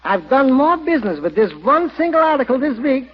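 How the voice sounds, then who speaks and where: An actor in 1940s radio comedy playing a fussy, wheeling furniture dealer who talks in comically formal, mangled phrases. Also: male voice, extremely high pitch, sing-song, a little fast, heavily acted. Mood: exasperated. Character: wheeling, fussy male second-hand furniture dealer, excitable and wisecracking. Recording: dull vintage radio recording.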